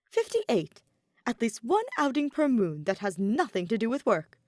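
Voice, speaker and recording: Woman as earnest young nobleman, emphatic, formal, teasing, lively swings in pitch, storybook romance, dry sound. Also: moderate pace, no echo.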